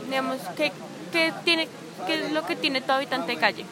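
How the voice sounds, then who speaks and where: Woman w doing a street interview, kinda high voice, keeps rephrasing questions, crowd noise. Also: fairly even intonation, fairly quick. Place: city park outdoors.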